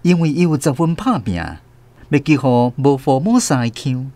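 Lively, wry Taiwanese male storyteller. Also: medium pitch, measured pace, no room sound. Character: wry male storyteller observing a mother, returned from abroad. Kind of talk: radio drama narration in first person.

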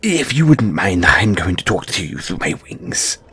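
A man's voice: deep voice, very animated, moderate pace, pushed and bright, slightly rough, heavily acted. A man acting a squeamish, timid gargoyle handler in an audio roleplay, stammering and speaking with nervous, formal politeness. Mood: queasy, hesitant.

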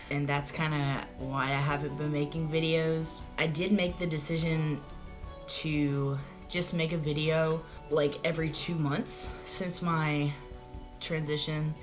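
A young man talking casually to the camera in a small room at home, chatty and rambling with lots of "like" fillers, his voice prone to cracking and going hoarse. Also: a little high. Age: young adult. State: voice goes hoarse easily. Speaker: vlogger. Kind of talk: casual video diary. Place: small bedroom at home, indoors. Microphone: camera in front at close range.

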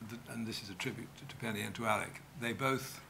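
Bright-voiced older male director. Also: lowish voice, fast talker, creaky, a little hiss or hum. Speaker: television director. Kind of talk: panel discussion on stage. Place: dark theatre stage, panel at a table.

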